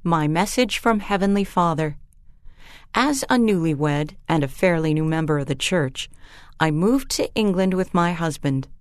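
A woman reading a first-person story aloud in an even, measured way, recorded close to the microphone. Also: lowish voice, no echo. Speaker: reader.

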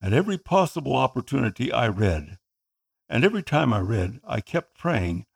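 Earnest gravelly man. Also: medium pitch, very animated. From Philippines. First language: Tagalog. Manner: earnest, measured reading. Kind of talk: scripted first-person narration.

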